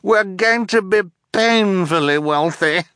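A man voicing a vain, cruel, stylish villainous woman in an audiobook, theatrical and gushing, with drawn-out exclamations. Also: mid-range voice, sing-song, a bit bright, no room sound, clean studio sound, voice pushed up. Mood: shrieking glee. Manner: purring, shrieking, drawn-out exclamations.

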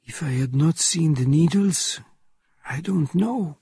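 Man playing a husky, grave old Frenchman. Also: on the high side, takes it slowly, warm tone, no room sound, clean studio sound, voice pushed up, heavily acted. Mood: grave, resigned. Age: older. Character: old Frenchman, weary, grave killer seeking no forgiveness.

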